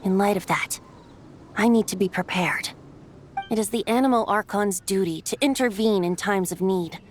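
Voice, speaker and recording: A woman voicing a young male wind god and bard for a video game, gentle and playful, with theatrical, poetic, lilting phrasing. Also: mid-range voice, normal speed, natural voice. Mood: solemn, poetic. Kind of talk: video game character acting. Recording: music behind the voice.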